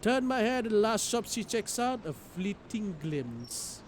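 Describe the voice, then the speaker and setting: A man streaming a game, reading its story aloud in an expressive, theatrical way. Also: high-pitched, unhurried, a little hiss or hum. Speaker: streamer playing a visual novel. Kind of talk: dramatic read-aloud narration with live reactions.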